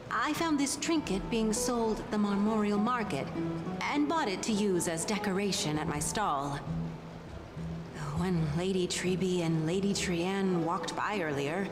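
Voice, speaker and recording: A woman's voice: medium pitch, close to an everyday voice. An actress playing a polite, hesitant female stall merchant in scripted video game dialogue.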